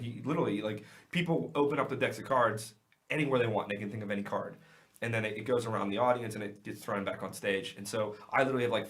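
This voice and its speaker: Swearing, animated magician guest. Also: male voice, medium pitch. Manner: animated storyteller, swears often. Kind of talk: casual podcast chat.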